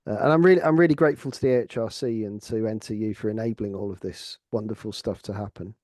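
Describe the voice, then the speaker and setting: A middle-aged man with an English accent, a researcher talking earnestly and haltingly, repeating words as he reflects. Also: on the low side, a little mellow, no room sound, clean studio sound. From Lincolnshire, England. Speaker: academic researcher and co-host. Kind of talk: reflective conversational discussion.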